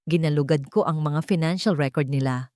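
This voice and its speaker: A woman's voice: low voice, moderate pace, darkish tone, dry recording, clean studio sound, close to an everyday voice. A woman voicing an assured, intent hacker explaining findings in a dramatic audio story. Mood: urgent, assured.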